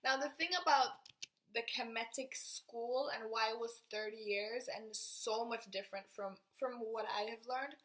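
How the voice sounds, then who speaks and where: A woman's voice: a little high, steady tone, measured pace, a bit bright. A young woman from Norway, explaining her self-study to the camera in a casual, thinking-aloud way and often restarting her sentences. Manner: thinking aloud, restarting and trailing off while writing on a board. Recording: roomy echo.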